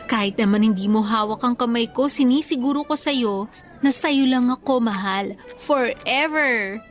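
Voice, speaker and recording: A woman's voice: on the high side, a little slow, close to an everyday voice. An actress playing a sweet, devoted young girlfriend in a Tagalog radio romance, tender and effusive. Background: faint music.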